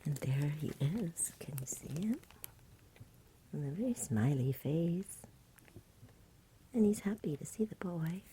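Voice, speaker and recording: A woman reading a story aloud in a gentle, hushed, unhurried ASMR style, mostly in a breathy whisper. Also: sits low, expressive, a little hiss or hum, rough recording. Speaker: storybook reader. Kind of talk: soft-spoken ASMR bedtime story reading.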